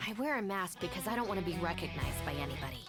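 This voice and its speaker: A woman's voice: lowish voice, animated, very fast, lightly acted. A woman playing a cool, capable young gang deputy in a video game trailer, composed and matter-of-fact. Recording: loud music behind the voice.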